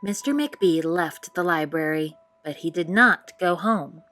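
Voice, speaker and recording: Low-voiced woman reading a storybook over music. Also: unhurried, fairly bright tone. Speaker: storytime read-aloud host. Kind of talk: expressive children's picture book narration.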